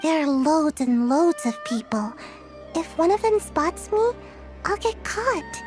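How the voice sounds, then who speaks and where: A woman voicing a sleepy, deadpan little girl ninja with a drawn-out delivery in a video game. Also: high voice, music in the background. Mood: quietly focused. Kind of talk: video game cutscene voice acting.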